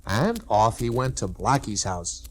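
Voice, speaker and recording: Animated male storyteller on an old record. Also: on the low side, a little slow. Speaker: narrator. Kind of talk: 1960s scripted children's storytelling. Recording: old 1960s record, clear background noise.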